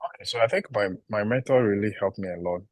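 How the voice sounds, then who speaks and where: Ghanaian guy, grad student, talks slow and measured, low voice, no room sound. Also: dry recording. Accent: Ghanaian English. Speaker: international PhD student and teacher. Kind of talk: interview answers.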